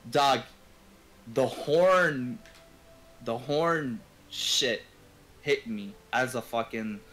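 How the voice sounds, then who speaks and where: A man's voice: medium pitch, drawn-out words, fairly bright tone, rough recording. A man from Ontario, chatting casually as a game streamer in a rambling, slangy, swear-heavy way. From Ontario, Canada. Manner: rambling, slangy, profanity-laden. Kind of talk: casual profane chat.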